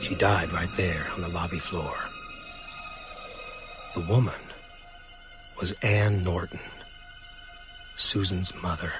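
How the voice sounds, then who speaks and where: An actor playing a sombre survivor recounting a horror story in measured, grave first-person narration. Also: male voice, low-pitched, steady tone, over music. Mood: sombre, haunted.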